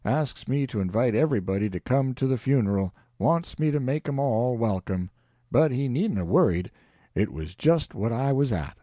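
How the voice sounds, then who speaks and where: A man voicing a pompous, long-winded older swindler who drawls slowly and grandly. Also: mid-range voice, expressive, dark tone, no echo, close to an everyday voice. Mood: smug. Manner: pompous, slow, self-satisfied.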